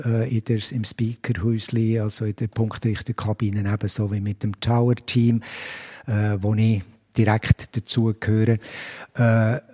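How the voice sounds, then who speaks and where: A middle-aged Swiss German man speaks in a slightly low, mellow voice. He is a stadium announcer answering questions casually. He is chatty and pauses often with fillers.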